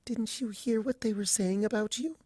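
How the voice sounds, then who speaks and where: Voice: mid-range voice, moderate pace, no echo, studio quality, voice pushed up, lightly acted. A narrator voicing a timid, anxious young female pegasus pony in a fan storybook reading, hesitant and stammering. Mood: worried. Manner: hesitant, stammering, soft-spoken.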